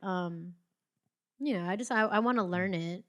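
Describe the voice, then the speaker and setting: A woman's voice: on the low side, normal speed, dull audio. A young American woman, a podcast guest, chatting casually with lots of "like" and frequent laughter. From United States. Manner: chatty, laughs often, heavy use of "like". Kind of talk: casual chat.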